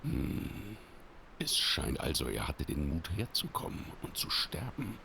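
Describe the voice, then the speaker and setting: Very deep gravelly werewolf. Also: male voice, wildly swooping pitch, unhurried, bright, forceful tone, slight background noise, full character voice. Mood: menacing scorn. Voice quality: gravelly growl. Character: menacing male werewolf serving his king. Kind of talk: cinematic audio drama acting.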